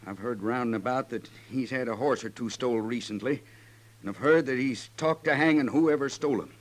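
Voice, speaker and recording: Male actor as vengeful frontier cattleman, medium pitch, folksy, drawling, menacing, vintage radio drama. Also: a little fast, natural voice. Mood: threatening. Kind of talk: 1950s radio western acting.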